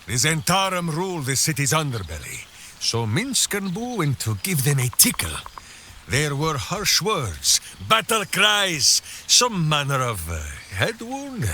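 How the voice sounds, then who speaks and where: An actor playing a hearty, simple-minded big warrior in a video game, boisterous and theatrical, speaking of himself in the third person. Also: male voice, fairly high pitch, wildly swooping pitch, unhurried, sharp tone, slight background noise, full character voice. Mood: boastful storytelling. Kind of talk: video game character acting.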